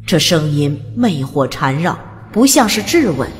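A woman narrating an audiobook in a calm, even storytelling manner. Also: deep voice, a little slow. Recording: long reverb, soft music behind.

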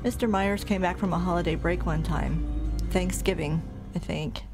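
A woman's voice: lowish voice, steady tone, normal speed, over music, natural voice. A woman playing a reserved, matter-of-fact radio station coworker in an audio drama, speaking casually. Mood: guarded, concerned. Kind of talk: horror audio drama acting.

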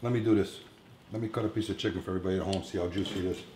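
A man's voice: deep voice, steady tone, very fast. A man in his fifties talks as a chef hosting a cooking show. His delivery is informal and chatty, aimed at home cooks. The kitchen around him is echoey, with faint noise behind the voice. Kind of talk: casual cooking demonstration. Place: commercial-style kitchen, indoors. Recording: echoey room, some background noise, kitchen clatter.

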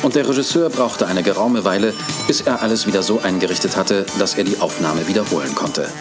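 A man narrating a children's radio play in a measured, storytelling way. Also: sits low. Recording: music and crowd behind.

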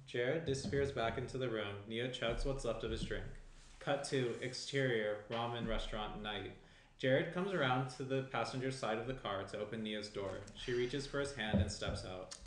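A young man narrates screenplay action in a medium-pitched voice. The recording is roomy, with faint noise underneath his scripted delivery. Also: normal speed. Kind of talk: scripted narration of stage directions. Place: small indoor room around a table. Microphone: shared tabletop microphone, some distance away.

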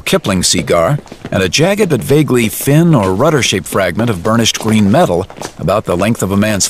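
A male storyteller narrates an audio drama in measured, literary present tense as performed by an actor. He sets each detail out descriptively, with faint noise and ambience behind him. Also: on the low side, natural voice.

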